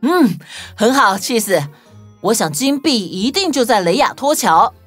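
A woman's voice: on the high side, very animated, unhurried. A woman playing a confident, reassuring young detective hero in a children's audio story. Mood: focused, reassuring. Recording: music bed behind voice.

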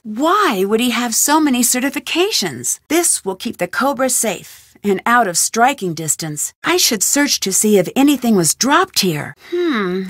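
Curious young woman detective, game voice lines, inquisitive, thinking aloud, fairly high voice, dry close sound. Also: fairly bright tone, dry recording. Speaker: game heroine.

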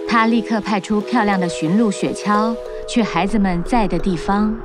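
A woman narrating a cartoon fairy tale in an even, clear storytelling voice. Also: medium pitch, moderate pace. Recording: narration over music.

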